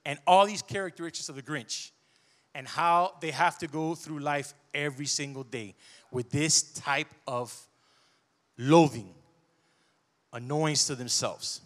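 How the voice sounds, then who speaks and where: A man in his forties preaching a sermon on a church stage into a handheld mic, conversational and animated, with personal asides. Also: fairly high pitch, a little slow.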